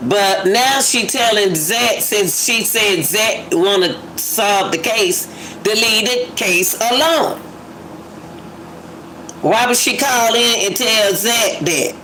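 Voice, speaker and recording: A woman talks through her reactions in a casual, musing way. Her pace is slow and her pitch is medium, and she repeats phrases for emphasis. There is faint noise behind her. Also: pushed and bright, rough recording. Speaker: commentator reacting to a phone call clip.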